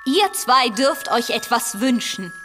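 A woman's voice: fairly high pitch, very animated, slow, exaggerated voice. A woman playing a sweet, sprightly carrot fairy with sing-song stage delivery in a children's audio play. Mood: sweet, theatrical. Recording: echoey hall, loud music behind.

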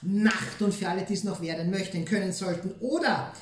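A man's voice: sits high, brisk, reverberant, a little hiss or hum. A man with an Austrian accent, a co-host doing silly, theatrical comic banter.

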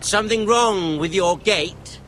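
An actor playing a menacing soldier leader in a fantasy video game, mocking and sarcastic in manner. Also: male voice, high-pitched, a little slow, heavy background noise. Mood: mocking menace. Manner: sneering, sarcastic. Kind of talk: dramatic video game acting. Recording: music playing behind the voice.

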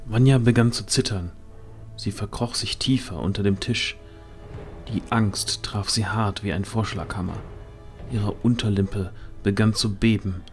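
A man with a fairly low voice tells a scripted fantasy tale as its narrator. Music plays underneath his dramatic storytelling. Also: steady tone, unhurried.

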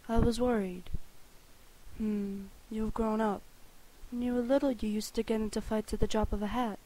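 Female performer as sweet young woman, gentle, tender, earnest, game dub heroine. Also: a little high, fairly even intonation, normal speed, natural voice, low-quality recording. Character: sweet, caring young woman greeting her childhood friend. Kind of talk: game fandub audition.

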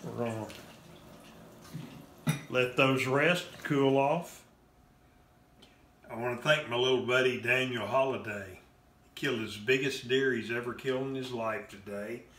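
A plain-spoken man in his fifties talks through a home cooking demo, one step at a time, in an unhurried drawl. His voice bounces around a hard-surfaced kitchen, caught on a phone camera held a few feet away. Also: medium pitch, fairly even intonation, a bit bright. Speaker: cooking show host. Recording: roomy kitchen echo.

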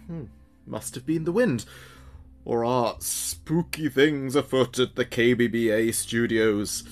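A man's voice: mid-range voice, slow, natural voice. A man playing a chatty small-town late-night radio host in an audio drama, with playful, wry patter. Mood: musing, chatty. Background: background music.

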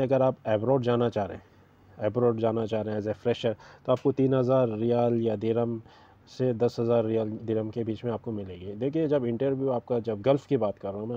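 A man talks through career advice for his own channel in a loose, repetitive way, leaning on fillers. His voice sits low and sounds very dark and mellow. The recording is dull and lo-fi. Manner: rambling and repetitive, with frequent fillers. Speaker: YouTube channel host. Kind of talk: informal career advice talk.

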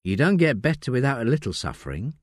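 A man voicing a brisk, firm woman police detective with a clipped manner. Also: mid-range voice, sing-song, no echo, clean studio sound.

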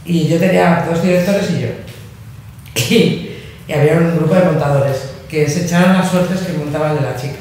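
A woman's voice: low-pitched, normal speed, darkish tone, slight background noise. A woman with a Spanish accent telling a funny work anecdote on a panel, laughing as she goes. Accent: Spanish from Spain. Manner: joking, laughing storyteller. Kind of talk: casual panel chat. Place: large echoey library room. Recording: echoey room, distant from the mic.

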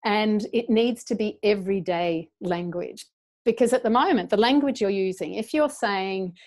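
A woman's voice: mid-range voice, normal speed. A middle-aged woman, speaking passionately as a guest over a video call from a small room. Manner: passionate, animated, gestures a lot with her hands. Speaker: guest educator. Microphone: earphone mic on a video call.

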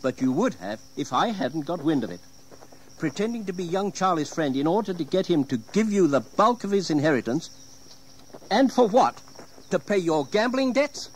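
Casting: world-weary, scolding gentleman father, expressive intonation, radio play. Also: high voice, normal speed, a little hiss or hum, poor audio. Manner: reproachful, formal. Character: stern, weary gentleman father scolding his wayward son. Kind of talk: audio drama acting.

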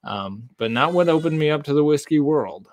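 Man in his thirties, from Texas, relaxed livestream chat, dry close desk mic. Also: fairly high pitch, moderate pace, dry recording. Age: 30s. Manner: relaxed, chatty, reading viewer comments aloud. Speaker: whiskey channel host. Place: home bar with lit shelves of bottles. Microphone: desk condenser mic.

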